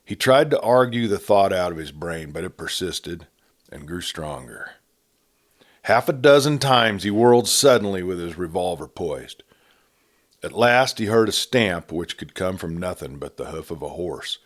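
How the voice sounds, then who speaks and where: Deep-voiced male storyteller, measured pace. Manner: measured, steady storytelling delivery. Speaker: storyteller reading a novel aloud. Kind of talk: audiobook narration of a Western.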